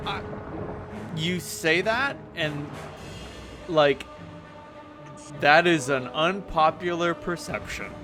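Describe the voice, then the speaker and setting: A man chatting casually while playing a game, laid-back, quick to laugh and apologize. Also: on the high side, lively intonation. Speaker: co-player. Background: game music.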